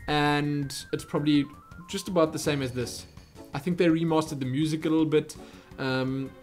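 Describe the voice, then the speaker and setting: A young man with a South African accent answering viewer questions in a casual, rambling vlog. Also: fairly high pitch. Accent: South African English. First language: Afrikaans. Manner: chatty, rambling, self-interrupting. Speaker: YouTube Q&A host.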